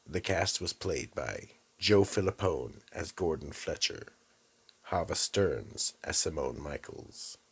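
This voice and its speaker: Man doing a gravelly, bottomless-deep horror storyteller. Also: a bit bright, full character voice. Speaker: narrator and host. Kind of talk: scripted horror narration.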